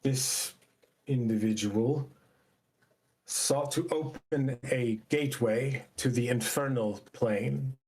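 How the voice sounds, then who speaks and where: A man voicing a solemn elder who recounts ancient lore in a tabletop game, measured, formal and portentous. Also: mid-range voice, darkish tone, poor audio.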